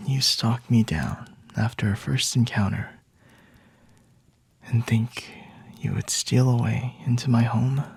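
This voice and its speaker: A man voicing a smug, flirty vampire lord in an intimate ASMR roleplay, breathy and husky, often whispering, with a teasing, condescending, refined manner. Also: medium pitch, fairly even intonation, takes it slowly, dry recording, full character voice. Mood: mocking, amused.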